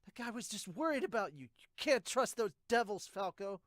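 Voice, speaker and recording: Man putting on high, frantic manga kids. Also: expressive, normal speed, a bit bright, dry recording, clean studio sound. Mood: panicked, distrustful. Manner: urgent, high, strained. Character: agitated, frightened children in a manga. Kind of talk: amateur manga dub reading.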